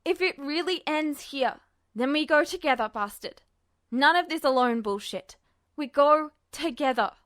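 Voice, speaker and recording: A woman voicing a brash, stubborn, fiercely loyal young man in an audiobook story, steady and defiant. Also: high voice, a little slow. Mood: defiant, resolute.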